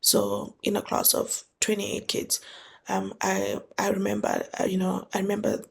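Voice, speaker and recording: Gravelly-voiced Zambian-born woman podcaster. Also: medium pitch, completely flat delivery, normal speed, a little hiss or hum. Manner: thoughtful, many fillers and restarts, conversational. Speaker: podcast host. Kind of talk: reflective solo podcast monologue.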